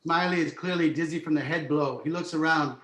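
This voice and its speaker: A man's voice: fairly high pitch, a little fast, fairly bright tone. A man reading stage directions as the narrator of a screenplay table read. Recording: roomy, echoing home recording.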